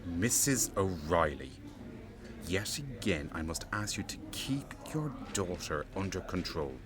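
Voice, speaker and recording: Deep male voice, swooping intonation, snooty officious hotel manager, pompous, formal, radio play, busy crowd behind. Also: sing-song, unhurried. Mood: exasperated, scolding. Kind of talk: radio drama acting.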